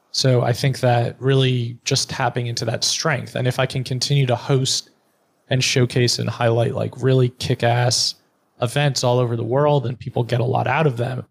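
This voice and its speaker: A man's voice: medium pitch, creaky, slightly rough. A middle-aged man speaking frankly and bluntly in a conversational interview, now and then swearing. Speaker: podcast guest, therapist and entrepreneur.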